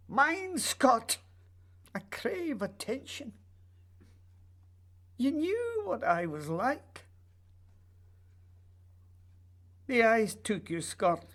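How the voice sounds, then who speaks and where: A man's voice: sits high, exaggerated voice. An actor playing a lonely, remorseful playwright mourning his lost friend, in a theatrical, sighing radio drama monologue. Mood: anguished.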